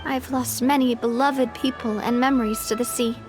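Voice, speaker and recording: A woman playing a brave, wistful young leader in a video game, speaking in an expressive, measured way. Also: fairly high pitch, natural voice. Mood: reflective, resolute. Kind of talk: scripted game dialogue. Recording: music behind the voice. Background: game music.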